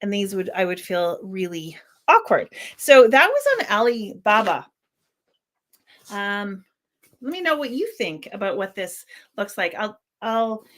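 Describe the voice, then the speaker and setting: A woman's voice: mid-range voice, a bit bright. Rambling woman reviewing products. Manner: rambling, thinks aloud with many restarts. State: slightly squeaky voice. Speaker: worship flag maker and channel host. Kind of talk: casual unboxing product review.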